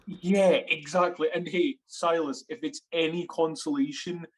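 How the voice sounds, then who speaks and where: A man's voice: fairly high pitch, unhurried, rough recording. A man in his twenties, from Sri Lanka, with a Scottish accent, rambling animatedly as the guest in a casual interview. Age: 20s. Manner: animated, rambling, many fillers and restarts. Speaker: guest actor and writer.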